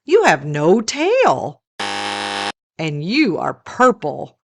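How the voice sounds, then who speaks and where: Lady doing storytime for kids, reads really slow n deliberate, super expressive up and down. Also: on the low side, noisy background. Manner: deliberate, questioning storyteller delivery. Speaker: children's storytime host. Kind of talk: animated slow read-aloud of a picture book for children.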